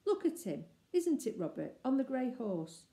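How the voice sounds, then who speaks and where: A cheerful young girl from a children's storybook, voiced by a woman, coaxing and emphatic. Her pitch is raised and the room is clearly echoey. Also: big pitch swings, normal speed. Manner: coaxing, bright-spirited, emphatic.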